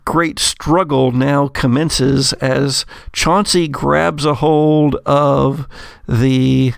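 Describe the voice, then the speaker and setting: A man's voice: mid-range voice, fairly even intonation, very slow, creaky, no echo, clean studio sound. A man running a tabletop game as game master, narrating dramatically with theatrical, florid phrasing. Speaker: game master of an actual play podcast.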